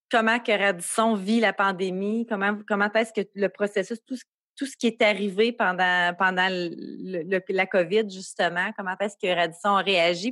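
A woman's voice: medium pitch, fairly bright tone, no echo. Québécois woman podcast host, dry sound. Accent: Québécois French. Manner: friendly, scripted intro then conversational questions. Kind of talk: podcast interview. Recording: dry with faint music behind.

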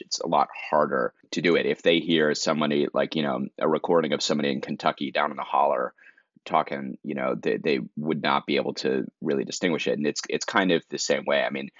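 A man's voice: very deep voice, a bit bright, no echo, muffled recording. A man co-hosting a podcast, talking casually and rambling with many "likes" and restarts. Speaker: podcast co-host. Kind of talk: casual conversation.

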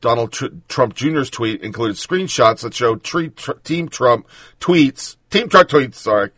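A man's voice: mid-range voice, animated, unhurried, a bit bright, no echo, clean studio sound. A man hosting political commentary, reading news and then ranting bluntly with sarcasm and profanity.

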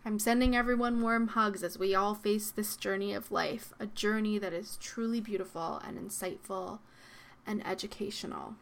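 Soft-spoken young woman podcast host. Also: mid-range voice, steady tone, moderate pace, vocal fry. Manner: soft, reflective, with frequent ums and likes. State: slightly losing her voice. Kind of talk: solo podcast monologue. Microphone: podcast microphone.

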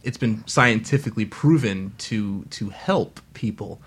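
Rambly guy, bright edgy voice, a bit low, casual. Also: unhurried, husky. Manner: rambling, full of "you know", joking. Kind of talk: casual radio talk.

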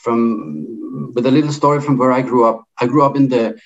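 A middle-aged man with a Danish accent talks in an online debate, his voice sitting in an ordinary middle pitch. He pauses often on fillers and restarts his phrases. The audio is somewhat muffled and lo-fi. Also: fairly even intonation. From Denmark. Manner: halting, with many fillers and restarts. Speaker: debate speaker.

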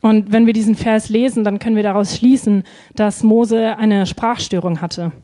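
A woman's voice: medium pitch, steady tone, moderate pace, mellow. A woman interpreting a sermon live, phrase by phrase, hesitating and feeling for words with frequent ums.

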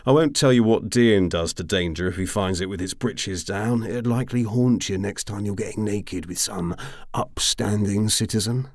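A man's voice: fairly low pitch, moderate pace, dry recording, studio quality, voice pushed up, natural voice. A man voicing a tipsy, roguish mercenary commander in an audiobook, drawling and rambling with boastful charm. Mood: roguish, amused. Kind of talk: audiobook character acting.

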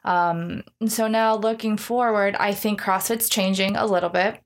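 A woman's voice: medium pitch, steady tone, moderate pace. A woman in her thirties talking casually in an interview, candid, reflective and self-deprecating. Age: 30s. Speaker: gym owner being interviewed.

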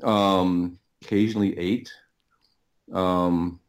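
Low-voiced, halting middle-aged man telling stories. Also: fairly even intonation. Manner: halting, rambling, repeats words as he thinks. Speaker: podcast guest, audio producer. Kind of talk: casual interview storytelling.

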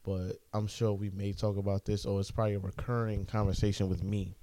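A man's voice: lowish voice, flat delivery, fairly quick, mellow, a little hiss or hum. An American man chatting casually as a podcast co-host, with slangy, profane talk. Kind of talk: casual chat.

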